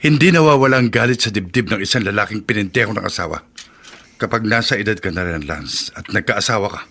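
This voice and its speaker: A man's voice: on the low side, quick pace, pushed and bright. An actor playing a hot-tempered, vengeful middle-aged father and mechanic in a radio drama. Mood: bitter, grim. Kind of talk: Filipino radio drama acting.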